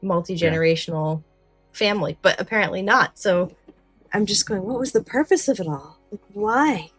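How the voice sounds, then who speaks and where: A woman's voice: mid-range voice, expressive, normal speed, a bit bright, slightly rough, no echo. A woman co-hosting a podcast, chatting casually about an old radio show. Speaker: podcast co-host. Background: faint music.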